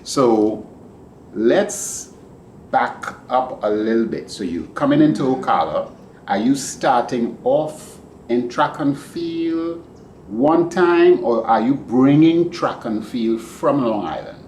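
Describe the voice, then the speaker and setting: A man hosting a podcast, interviewing a guest in a casual, rambling and animated way. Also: mid-range voice, slow. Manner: animated, rambling, laughs easily. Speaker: podcast host. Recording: echoey room with background noise.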